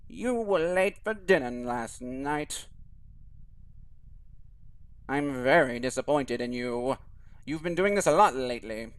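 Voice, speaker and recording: A man voicing a frail elderly village priest, speaking in a slow, drawn-out preaching cadence. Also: medium pitch, animated, clean tone, no echo. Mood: stern.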